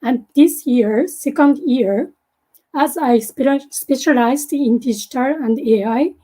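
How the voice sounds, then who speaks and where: Guest presenter: woman, Japanese accent, native Japanese speaker, slow and halting, soft dark voice, video call. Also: sits high, poor audio. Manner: halting, many fillers and restarts.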